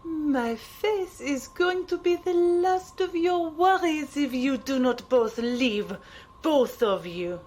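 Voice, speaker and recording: Woman playing a high-voiced, deliberate, defiant heroine. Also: expressive, darkish tone. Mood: defiant anger. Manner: deliberate, formal phrasing. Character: defiant, stern heroine facing old enemies. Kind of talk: audio drama acting. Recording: clear background noise.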